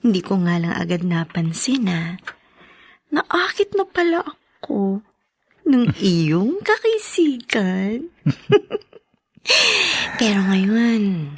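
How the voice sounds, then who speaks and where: An actress playing an affectionate young wife in love in a radio drama, breathy and often dropping into whispers. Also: female voice, mid-range voice, very animated, drawn-out words, pauses often, no echo, studio quality, exaggerated voice. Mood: fond, teasing.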